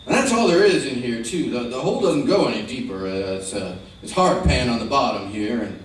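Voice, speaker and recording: Male actor as easygoing Old West lawman, American, plainspoken, lively intonation, very echoey old radio recording. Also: mid-range voice, distant mic, slight background noise, natural voice. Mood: intent, serious. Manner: hesitant, plainspoken, thoughtful pauses. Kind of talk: old-time radio western acting.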